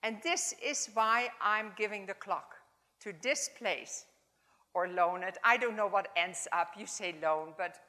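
A woman in her seventies with a Dutch accent, giving an informal, halting chapel talk in English as a second language. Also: mid-range voice. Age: 70s. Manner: warm, halting, non-native phrasing. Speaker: chapel speaker. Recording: long hall reverb.

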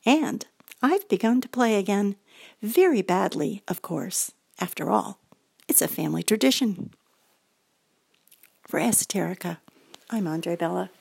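An older American woman reading a scripted personal story, gentle and reflective, as a feature presenter. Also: medium pitch, animated, unhurried, creaky, long pauses, slightly rough. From United States.

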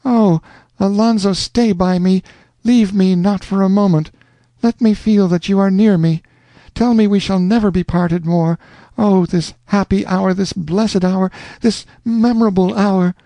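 A man's voice: sits high, steady tone, unhurried, warm tone, no room sound, voice pushed up. A man reading a lovesick young woman in an audiobook, pleading and gushing in a raised voice.

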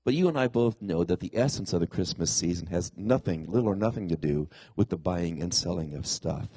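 Man with a dull, husky voice. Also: sits low, a little fast, mellow, no echo. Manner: conversational, asks the congregation questions. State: congested from a cold-like ailment after a tooth extraction. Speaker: pastor. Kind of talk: sermon.

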